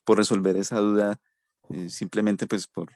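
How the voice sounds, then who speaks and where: A man's voice: on the low side, level tone, normal speed, a little mellow, no echo, studio quality. A man, a student in an online English class, asking his teacher questions politely and hesitantly, with many restarts.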